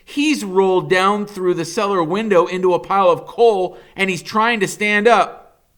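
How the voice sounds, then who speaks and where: A man reading a novel aloud as a dramatic first-person narration, expressive and suspenseful. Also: high-pitched, moderate pace.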